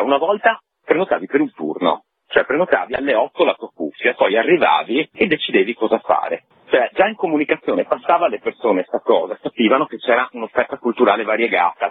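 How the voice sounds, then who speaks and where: A man with a husky voice explaining things conversationally and in detail as a festival organiser in an informal radio interview. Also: lowish voice, no echo. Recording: tinny, narrow phone line.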